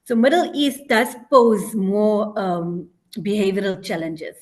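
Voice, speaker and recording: A woman's voice: mid-range voice, takes it slowly, darkish tone. A South African woman, a teacher working abroad, speaking in a casual podcast interview, hesitant and full of ums and "you know".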